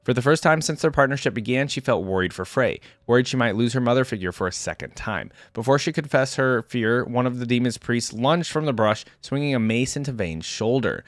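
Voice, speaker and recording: Guy reading lore out loud for a reaction vid, casual, mid pitch, really dry sound. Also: expressive, a little fast, hardly any pauses, dry recording. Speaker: reaction video host.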